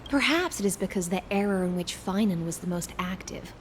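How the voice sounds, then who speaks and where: A woman's voice: mid-range voice, lively intonation, fairly quick, long reverb tail, slight background noise, natural voice. A woman playing a courteous, loyal knight, speaking formally and with measure in an anime game. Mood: formal, composed. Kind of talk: dramatic anime game acting. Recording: faint background music.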